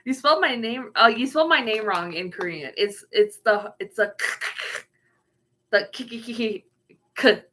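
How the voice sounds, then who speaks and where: A woman's voice: a little high, expressive, moderate pace, a bit bright, a little hiss or hum. A young woman streaming and casually answering chat, often trailing off while searching for words.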